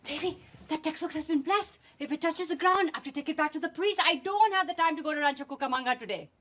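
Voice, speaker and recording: An actress playing a strict, devout middle-aged mother who hurries her daughter along in a brisk, scolding way. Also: high voice, fairly bright tone. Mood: hurried, scolding. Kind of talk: TV series dialogue.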